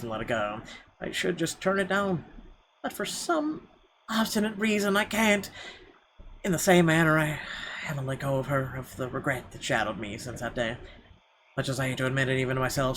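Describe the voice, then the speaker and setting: A man's voice: mid-range voice, creaky, noisy background. A man hosting a gaming video, reading visual novel narration dramatically and breaking into irreverent, sweary asides. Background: game music.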